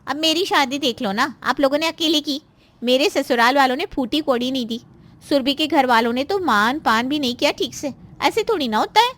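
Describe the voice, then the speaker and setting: A woman's voice: high voice, a little fast, a bit bright. A woman playing a scheming, spiteful married daughter in a cartoon moral story, with a nagging, insinuating and complaining manner. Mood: complaining, indignant.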